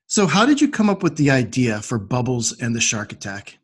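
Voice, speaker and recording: A man's voice: mid-range voice, sing-song, brisk, husky. A man hosting a podcast, introducing and interviewing in a friendly style. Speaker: podcast host.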